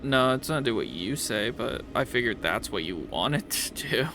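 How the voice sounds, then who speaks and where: A man's voice: mid-range voice, big pitch swings, normal speed, sharp tone. A man working as game master, improvising narration and rulings for a tabletop role-playing game.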